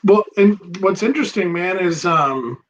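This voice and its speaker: A man hosting a casual chat about records, relaxed and rambling with many pauses and ums. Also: high voice, muffled recording, a little hiss or hum. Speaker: record store host.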